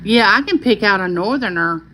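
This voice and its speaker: A woman with a Southern Arkansas accent hosts a casual livestream, reading viewer comments aloud and answering each by name in a calming voice. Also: mid-range voice, a little fast, a little hiss or hum, rough recording. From California. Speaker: livestream host.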